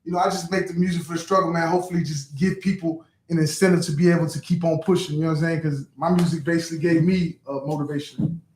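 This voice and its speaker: A man's voice: fairly high pitch, fairly even intonation, quick pace, a little mellow. A man from San Pedro, California, a rapper answering interview questions in a laid-back, hesitant way. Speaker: rapper guest. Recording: echoey room.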